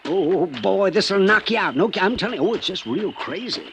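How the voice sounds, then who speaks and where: Casting: chatty tipsy salesman, higher male voice, swooping delivery, folksy chuckler, vintage radio drama. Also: lively intonation, moderate pace, vocal fry, a bit bright, a little hiss or hum, exaggerated voice. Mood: excited, insistent. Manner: folksy, rambling, repetitive, chuckling. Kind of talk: old-time radio drama acting. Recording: vintage radio recording with music behind.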